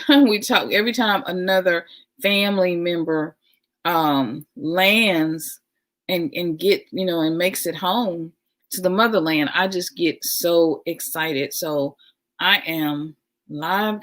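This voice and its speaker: A woman hosting a casual livestream, talking warmly and enthusiastically, repeating her phrases and making chatty asides. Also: lowish voice. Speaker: livestream host.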